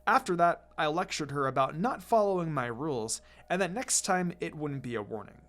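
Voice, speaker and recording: A man's voice: a little high, expressive, moderate pace, a bit bright. Man reading as a fiery, exasperated young ninja girl. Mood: furious. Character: fiery, hot-tempered teenage ninja girl. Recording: music behind the voice.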